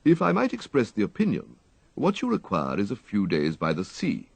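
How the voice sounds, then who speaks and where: Guy doing a proper english butler type, very polite n measured, bit gravelly, dry close sound, audiobook. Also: lowish voice, wildly swooping pitch, dry recording, full character voice. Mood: discreet, soothing. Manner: formal, tactful, precise. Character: deferential, unruffled English valet, measured and discreet.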